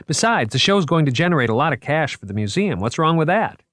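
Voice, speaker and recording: A man's voice: on the high side, very animated, a bit bright, no echo, clean studio sound, pitched above the performer's own voice. A man doing an eager, jittery young curator. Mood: persuasive. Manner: eager, rapid, stammering when flustered. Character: eager nervous young male museum curator. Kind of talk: audiobook character voice.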